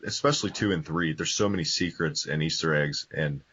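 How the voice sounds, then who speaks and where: Man, very low voice, husky, quick talker, opinionated, dull lo-fi sound. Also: fairly bright tone. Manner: quick, opinionated, asks pointed questions. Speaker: podcast co-host. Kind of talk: casual chat about video games. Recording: dull lo-fi podcast audio.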